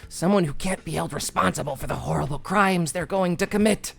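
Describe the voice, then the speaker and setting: A man gives voice to a sleep-starved young woman who grandly announces her descent into villainy. The delivery is theatrical and brooding, with soft music in the background. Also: fairly high pitch, brisk, creaky, breathy edge, full character voice. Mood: melodramatic. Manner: melodramatic, menacing read of game text. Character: sleep-deprived young woman turned would-be killer.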